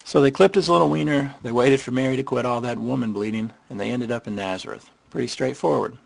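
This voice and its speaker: A man delivering casual, irreverent scripted commentary in a sarcastic, mocking way, with a gravelly, husky voice. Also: mid-range voice, fast, rough recording. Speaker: narrator of a skeptical commentary on the Bible.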